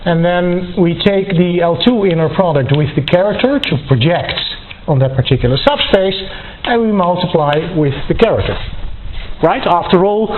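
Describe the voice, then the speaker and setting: A man giving a university lecture, explaining step by step in a deliberate, halting way and often repeating and rephrasing his words. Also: high voice, expressive. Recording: tinny phone-like band, noise, clicks.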